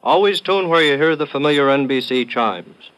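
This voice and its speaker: A man giving a formal, measured network identification in vintage radio announcer style. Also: fairly high pitch, animated, fairly bright tone, clear, resonant tone, dry recording.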